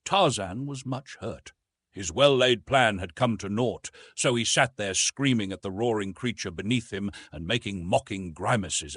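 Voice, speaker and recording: A man's voice: fairly low pitch, fairly bright tone, breathy edge, no echo. A man narrating an adventure story from a script in a dramatic, theatrical way, drawing out words for emphasis. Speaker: audiobook narrator.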